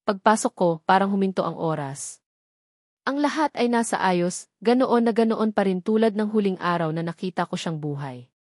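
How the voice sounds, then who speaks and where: A woman's voice: mid-range voice, expressive, normal speed, a little mellow, no echo, clean studio sound. A woman reading a scripted first-person dramatic story as narrator.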